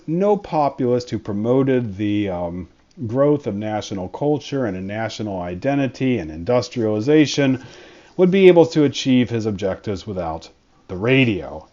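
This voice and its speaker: A man's voice: medium pitch, mellow. Man lecturing, dull lo-fi sound. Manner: conversational, frequent fillers and restarts. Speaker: history professor. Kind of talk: recorded college lecture.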